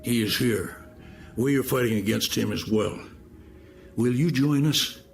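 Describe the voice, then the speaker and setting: A man's voice: medium pitch, unhurried, creaky, soft background music. A man playing a grave warrior ally in a video game cutscene. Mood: wary.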